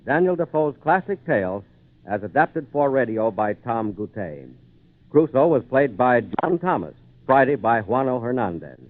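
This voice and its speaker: An American man announcing in scripted 1940s radio style. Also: mid-range voice. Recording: tinny phone-like old radio recording.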